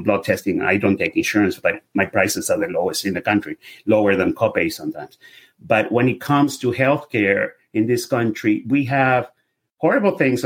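Husky middle-aged man with a Venezuelan accent. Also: on the low side. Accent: Venezuelan Spanish. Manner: explanatory, loose run-on sentences with restarts. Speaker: guest, lab testing business owner. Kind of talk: casual podcast interview.

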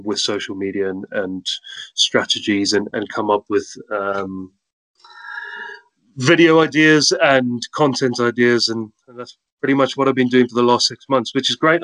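A man's voice: medium pitch, fairly bright tone, poor audio. A man with a South African accent, a guest in a casual interview, cheerfully telling anecdotes about his work and life. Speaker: guest, content creator.

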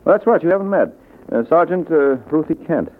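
An actor playing a wisecracking, confident private detective in a vintage radio drama. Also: male voice, fairly high pitch, lively intonation, a little fast, close to an everyday voice. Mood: breezy. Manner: wry, confident. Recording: muffled narrow-band old radio, noisy.

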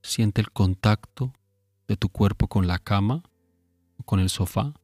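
A man narrating a guided sleep meditation in a calm, soothing manner, giving unhurried instructions with long pauses. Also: on the low side, no room sound, soft background music.

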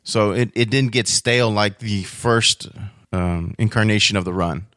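A man's voice: on the low side, moderate pace, a bit bright, no echo. Casual, rambling man in a dry recording. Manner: informal, rambling enthusiastic fan talk. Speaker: podcast guest. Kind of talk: casual chat about comics.

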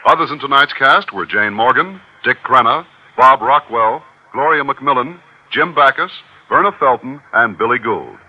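A man's voice: medium pitch, lively intonation, moderate pace, lo-fi sound, noisy background. A man announcing scripted credits in the style of a 1950s radio show. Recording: old radio broadcast over music.

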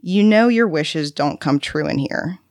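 A woman voicing a patient female carer who coaxes and soothes a volatile child, explaining things deliberately in a narrated story. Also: mid-range voice, huge pitch swings, dry recording, studio quality, voice pushed up. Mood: gentle, insistent.

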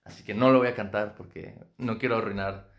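A Mexican man hosting a film podcast, chatting in a relaxed, joking, stop-start way. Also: fairly low pitch, a little fast. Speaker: podcast host. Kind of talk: casual chat.

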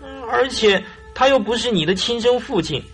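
An actor playing an eager, shifty bridegroom in a radio drama, coaxing and halting with interjections. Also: male voice, extremely high pitch, expressive, a bit bright, faint music behind, heavily acted. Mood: coaxing, solemn.

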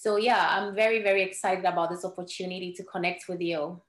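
A woman's voice: lowish voice, fairly even intonation, fast talker, roomy sound. A woman from Ghana whose first language is Ewe, a podcast guest telling her life story earnestly, with small restarts. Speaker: guest entrepreneur.

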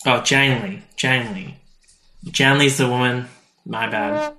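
A man's voice: medium pitch, steady tone, takes it slowly, reverberant, crowd noise. A man co-hosting a podcast, casually recapping a story and acting out people's reactions as he retells it. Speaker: podcast co-host. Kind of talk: casual episode recap chat.

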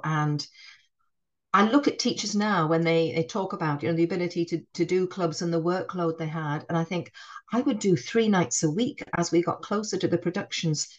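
Northeast English woman, lowish voice. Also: muffled recording. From Northeast England. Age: middle-aged. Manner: warm, reflective, frequent restarts. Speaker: head teacher, guest. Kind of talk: conversational podcast interview.

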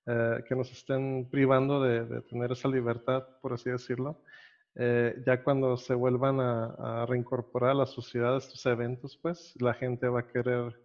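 A man's voice: mid-range voice, little pitch movement, moderate pace, darkish tone, muffled recording. A Costa Rican-born man, hosting a casual interview, laid-back and rambling, laughing often. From Costa Rica. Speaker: podcast host.

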